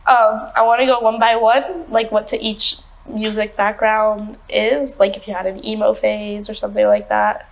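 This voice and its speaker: A woman's voice: mid-range voice, little pitch movement, moderate pace, vocal fry, rough recording. A woman hosting a podcast, chatting casually with a band, joking and laughing often. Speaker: podcast host. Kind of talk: casual interview chat.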